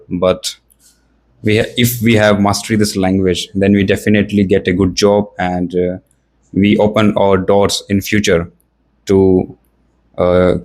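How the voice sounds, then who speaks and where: A young man from India with an Indian English accent, a learner practicing spoken English, pausing often and searching for words. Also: on the low side, darkish tone. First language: Hindi or another Indian language. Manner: hesitant, searching for words, many fillers. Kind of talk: casual practice conversation.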